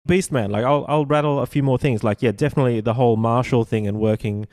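A man in his forties hosting a podcast interview, enthusiastic and halting, full of stumbles and "like". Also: mid-range voice, darkish tone, dry recording. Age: 40s. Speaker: podcast host. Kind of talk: casual interview chat.

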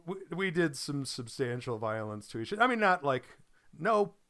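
A man's voice: on the high side, sing-song, normal speed, pushed and bright, no room sound, studio quality. A man from Boise chatting casually as a podcast co-host, rambling with many ums and restarts, still recovering from a lost voice and coughing. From Boise, Idaho. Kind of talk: casual chat.

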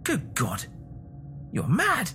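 A man voicing a frightened, weary old husband in a story, tender but horrified, with shaky, halting speech. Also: mid-range voice, lively intonation, gravelly, music in the background.

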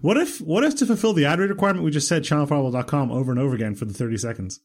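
A man's voice: on the high side, lively intonation, racing. A man with an Irish accent co-hosting a podcast, playful in casual banter and reading out listener questions. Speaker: podcast co-host.

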